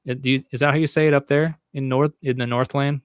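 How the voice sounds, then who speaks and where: A man's voice: mid-range voice, flat delivery, fast, clear, resonant tone, no echo, studio quality. A young American man hosting a podcast livestream, chatting casually with viewers in a crude, joking way and swearing a lot, recorded in a small room on a stand microphone. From United States. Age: young adult. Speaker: podcast host answering chat. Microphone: studio mic on a stand in front.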